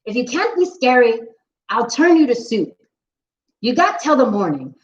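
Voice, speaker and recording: A woman's voice: a little high, sing-song, normal speed, slight background noise. Woman playing a snappy, bossy witch. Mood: threatening. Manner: snappish and scolding. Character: bossy, grumpy female witch. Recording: roomy, slightly dull recording.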